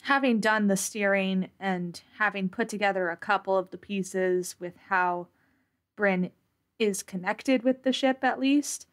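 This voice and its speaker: Female roleplay gamer, average pitch, casual and halting as she talks through her character's reasoning. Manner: halting, thinking aloud. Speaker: tabletop game player. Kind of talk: casual explanation of character's motives.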